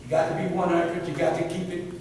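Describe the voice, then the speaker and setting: A man with a big voice makes a rambling, heartfelt public comment at a town board meeting. His voice sits somewhat high, and he is heard from well away from the mic. A long, echoing hall reverb surrounds him, with faint room hiss. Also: monotone, quick pace, a little mellow. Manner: earnest, rambling, preacher-like appeals. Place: large echoey meeting hall. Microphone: room mic from a distance. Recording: echoey hall from far off.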